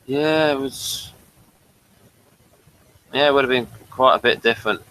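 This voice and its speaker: A man's voice: mid-range voice, unhurried, bright, forceful tone, rough recording. A man hosting a casual review chat, talking conversationally. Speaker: reviewer and host.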